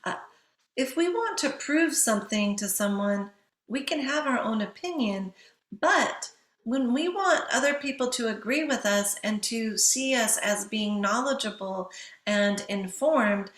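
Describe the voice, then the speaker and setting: A woman teacher giving a lecture to students, stressing key points emphatically and slowing down on them. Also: mid-range voice, fairly bright tone, echoey room. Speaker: English professor teaching writing.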